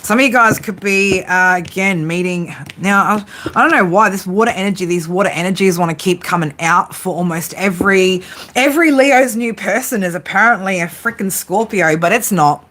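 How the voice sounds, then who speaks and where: A woman's voice: medium pitch, normal speed, fairly bright tone. A woman giving a live tarot reading in a casual, chatty way, swearing freely. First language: English. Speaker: tarot reader.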